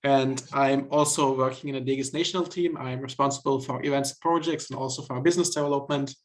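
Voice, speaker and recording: A man from Turkey introducing himself on a video call as co-host, speaking in a polite, measured, slightly halting way. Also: medium pitch, steady tone. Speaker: event co-host. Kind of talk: self-introduction on a webinar.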